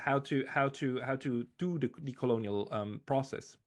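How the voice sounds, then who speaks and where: Slow-spoken male interviewer. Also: mid-range voice. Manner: halting, repeats phrases. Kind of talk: interview questions.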